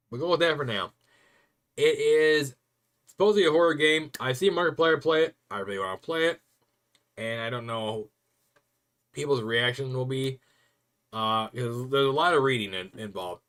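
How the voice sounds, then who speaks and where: A young man rambling casually to viewers on a stream, chatty and quick to laugh. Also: mid-range voice, fairly bright tone.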